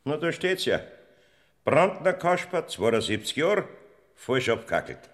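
An actor playing the stern old gatekeeper of heaven in a classic Bavarian radio play, questioning sharply with a Bavarian accent. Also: male voice, on the high side, big pitch swings, slow, fairly bright tone, heavily acted. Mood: stern, scolding. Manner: stern, probing interrogator.

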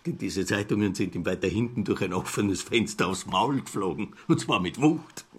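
An actor playing a chatty, self-important greying traveller of about fifty in a radio play, rambling along with an Austrian accent and rhetorical questions. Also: male voice, on the low side, moderate pace, husky. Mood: self-satisfied.

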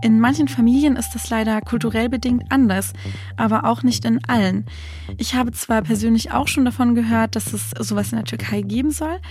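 A woman's voice: fairly high pitch, a little mellow. A woman hosting an interview, moving between conversational questions and scripted narration. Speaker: host and interviewer.

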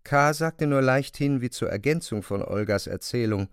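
A man's voice: mid-range voice, expressive, normal speed, clear, resonant tone, no echo, clean studio sound. A man narrating a literary radio drama from a script.

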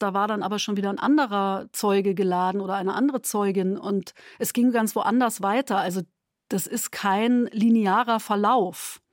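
A woman speaks in an interview with a middle-pitched voice in a very dry acoustic. She explains things step by step, rephrasing as she goes. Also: no echo. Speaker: radio drama maker interviewed about the production.

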